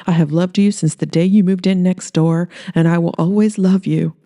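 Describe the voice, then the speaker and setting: A woman's voice: lowish voice, steady tone, normal speed, mellow, dry recording, studio quality, lightly acted. Woman voicing a shy, smitten young underdog guy. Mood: earnest, nervous. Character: shy, smitten, nervous young man, trailer-park underdog.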